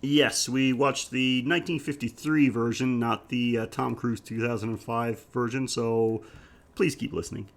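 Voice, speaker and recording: A man with a mid-pitched voice hosts a casual podcast while nursing a head cold. He chats in a joking, self-deprecating way as he addresses listeners. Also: fairly even intonation, normal speed. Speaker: podcast host. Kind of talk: casual chatty podcast hosting.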